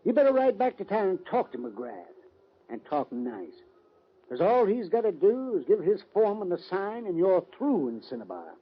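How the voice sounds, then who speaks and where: An actor playing a blunt, folksy old-timer in a radio western, with a rough voice and an American Western drawl. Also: male voice, high-pitched, wildly swooping pitch, moderate pace, full character voice. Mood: blunt warning. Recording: dull vintage radio recording.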